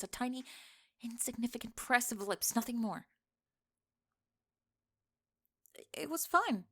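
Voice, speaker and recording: Flustered young tomboy played by a woman. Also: medium pitch. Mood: flustered, defensive. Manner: teasing, defensive, stammering, halting. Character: flustered tomboy best friend, young woman, bossy, secretly smitten. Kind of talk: intimate ASMR roleplay acting.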